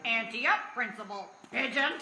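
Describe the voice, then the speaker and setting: A woman's voice: on the high side, measured pace, low-quality recording. A woman playing a weary, sardonic schoolteacher in a cartoon, with a dry, mock-polite, theatrical delivery. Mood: competitive, scheming. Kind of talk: animated cartoon acting. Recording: music behind the voice.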